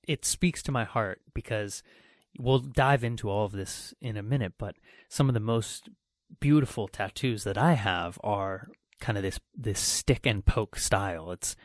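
A man's voice: on the low side, no echo, studio quality. A man hosting a show, speaking in a chatty, effusive, casual interview style. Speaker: show host.

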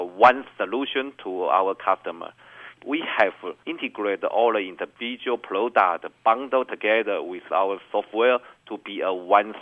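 Man, phone-like sound. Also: on the low side. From Taiwan. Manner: careful non-native English, measured and earnest. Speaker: company executive guest. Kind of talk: business interview answers. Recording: phone-like narrow band.

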